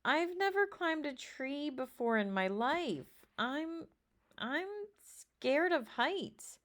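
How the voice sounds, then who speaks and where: A woman's voice: sits high, big pitch swings, slow, a bit bright, pitched above the performer's own voice, full character voice. A woman voicing an earnest, timid young boy who poses as a hero in a storybook. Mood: nervous.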